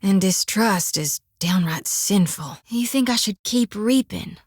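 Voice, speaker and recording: An actress voicing a devout, fiery young priestess in a video game, with a folksy drawl and country sayings, recorded on a dry studio mic. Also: female voice, medium pitch, lively intonation, unhurried, slightly rough, dry recording. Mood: earnest. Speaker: game companion character.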